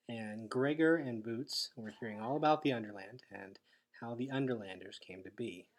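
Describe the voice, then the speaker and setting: A man with a husky voice reading a children's novel aloud as expressive storybook narration. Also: medium pitch. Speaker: teacher reading a chapter aloud.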